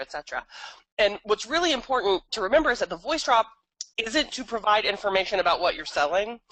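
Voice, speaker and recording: A woman hosting a training session, explaining points in a deliberate, emphatic instructional way. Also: medium pitch, fairly bright tone, no echo, rough recording. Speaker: training host.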